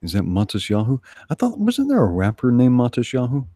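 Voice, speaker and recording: A man's voice: mid-range voice, huge pitch swings, brisk. A man co-hosting a casual livestream, teasing and joking dryly as he chats. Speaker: livestream co-host. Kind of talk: casual bantering chat.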